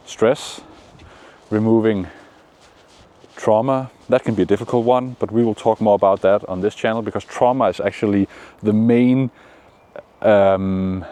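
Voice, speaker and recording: A man from Denmark with a Danish accent, giving calm, encouraging motivational talk outdoors in the shade, with frequent pauses. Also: on the low side. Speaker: manifestation coach. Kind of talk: casual motivational vlog talk.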